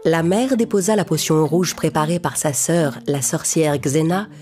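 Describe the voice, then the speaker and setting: A woman's voice: low voice, normal speed, music in the background. A woman reading scripted narration for a children's fairy-tale cartoon.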